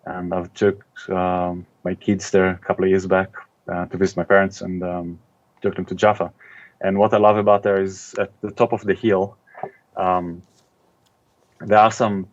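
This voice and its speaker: A middle-aged man from Israel, an author being interviewed, speaking in a thoughtful, casual way with many pauses and ums. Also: deep voice, level tone, dull audio.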